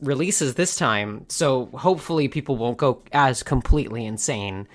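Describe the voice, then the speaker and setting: A woman's voice: extremely low pitch, lively intonation, normal speed. A woman hosting a podcast in casual chat, laughing often and restarting her sentences. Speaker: podcast host.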